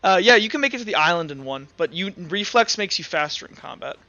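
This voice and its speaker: A man's voice: high-pitched, animated, fast, bright, forceful tone, highs cut off, faint music behind. A man casually refereeing a tabletop game, explaining rules and giving players instructions. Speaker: game master.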